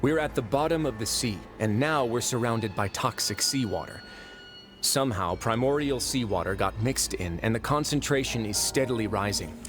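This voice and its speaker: An actor playing a calm, authoritative and wry warden of a prison fortress in a video game, speaking in measured, formal explanations. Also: male voice, medium pitch, close to an everyday voice. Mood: measured, explaining. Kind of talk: English video game voice acting. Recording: game music playing behind the voice.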